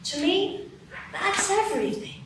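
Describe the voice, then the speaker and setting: A woman's voice: extremely high pitch, animated, measured pace, background noise, full character voice. A woman voicing a tender, grateful mother in a live radio play. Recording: echoey stage, distant mic.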